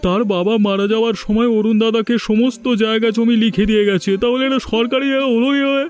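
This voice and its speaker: A man's voice: extremely high pitch, steady tone, quick pace, clear, resonant tone. A man voicing a loyal, indignant young villager in a cartoon, gossiping emphatically. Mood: doubtful. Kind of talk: cartoon voice acting.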